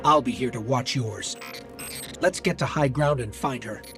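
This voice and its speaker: An actor playing a loyal, watchful companion guiding the hero in a video game, with brief, purposeful delivery. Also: male voice, mid-range voice, normal speed, lightly acted. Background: loud music.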